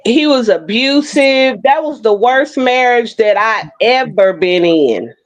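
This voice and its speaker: Frank, animated woman in her fifties. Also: a little high, slow. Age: 50s. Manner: frank, animated storytelling with Southern-inflected slang. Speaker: panel co-host. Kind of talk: casual chat. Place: Oklahoma City.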